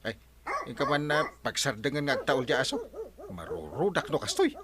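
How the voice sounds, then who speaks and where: Man acting a flustered, wildly animated Ilocano village captain. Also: mid-range voice, brisk, crowd noise, lightly acted. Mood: irritated. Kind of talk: broadcast comedy radio drama acting.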